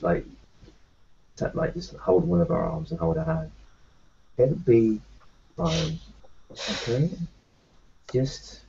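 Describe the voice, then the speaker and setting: A man in an in-character tabletop roleplay, talking in a halting, reassuring way with many restarts. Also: mid-range voice, creaky, darkish tone, highs cut off, slight background noise.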